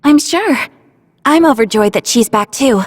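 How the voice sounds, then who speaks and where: Husky, caring young heroine. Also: female voice, high voice, lively intonation, unhurried, long reverb tail. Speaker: voice actor. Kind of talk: video game cutscene acting.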